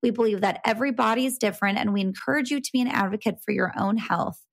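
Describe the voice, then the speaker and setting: A woman's voice: medium pitch, fast talker, creaky. Enthusiastic, informal female podcast host. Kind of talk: chatty podcast interview.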